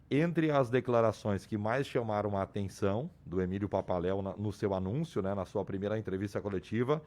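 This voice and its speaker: A man with a hoarse voice, reporting club sports news on a radio show in an explanatory way. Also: lowish voice, darkish tone. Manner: explanatory, restarts and repeats names. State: hoarse, losing his voice. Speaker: sports commentator.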